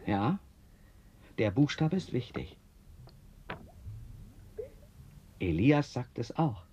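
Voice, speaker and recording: An actor in a German radio drama playing an eccentric, grandiose writer who swings from hushed to exclaiming. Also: male voice, medium pitch, very animated, measured pace, dull audio, slight background noise. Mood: teasing.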